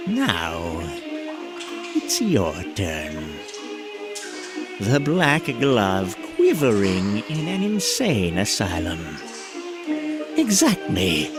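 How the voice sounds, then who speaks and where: A man playing a deranged, gleeful clown villain in a motion comic, theatrical and menacing, halting and taunting over music. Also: on the low side, heavily acted. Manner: theatrical, halting, swinging from hushed to shrieking. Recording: dramatic music under the voice.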